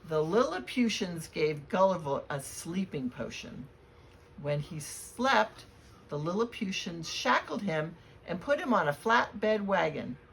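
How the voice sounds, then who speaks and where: A woman reading a storybook aloud to children in a deliberate, expressive way. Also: fairly low pitch, rough recording. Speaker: children's read-aloud reader at a library. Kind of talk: storybook read-aloud with spoken introduction. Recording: clear background noise.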